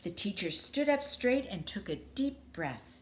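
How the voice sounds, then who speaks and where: Woman, children's story reading, unhurried, expressive, dramatic pauses, lively intonation. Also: medium pitch. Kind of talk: children's story read aloud.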